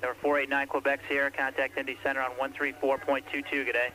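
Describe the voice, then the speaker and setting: A man's voice: medium pitch, flat delivery, quick pace, sharp tone, rough recording. A man working as an air traffic controller, calling aircraft in terse, clipped radio phrasing. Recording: archival radio recording with faint hiss.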